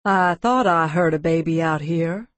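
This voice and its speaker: A woman voicing an easygoing, wryly amused Texan businessman with a drawling baritone in an audiobook. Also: unhurried, no room sound. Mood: easygoing, offhand. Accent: Texas. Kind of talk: audiobook character dialogue.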